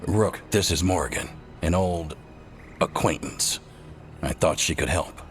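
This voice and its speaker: An actor playing a grave, reserved ally in a fantasy video game, speaking in a terse, understated way. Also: male voice, low voice, measured pace, faint music behind. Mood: guarded. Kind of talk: video game cutscene acting.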